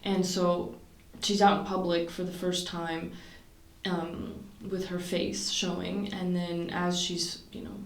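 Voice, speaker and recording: A woman's voice: lowish voice, level tone, slow. A young woman from Canada talking casually to camera about her projects, chatty and rambling with many fillers and restarts. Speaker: vlogger. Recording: roomy sound, mic at a distance.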